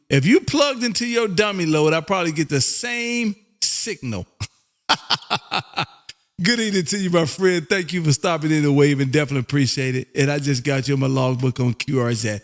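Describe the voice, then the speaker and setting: A high-voiced American man works the ham radio as host. He is loud and chummy, talks in a folksy, casual way and peppers his sentences with "man". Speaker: amateur radio operator and livestream host.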